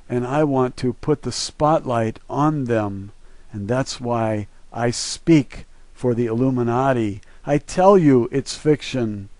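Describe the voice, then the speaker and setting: A man's voice: medium pitch, mellow, no echo. An American man delivering an earnest, preachy solo monologue to his listeners, stressing his points like someone urging people to act. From United States. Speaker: video creator and presenter. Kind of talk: solo monologue, part reading a list, part commentary.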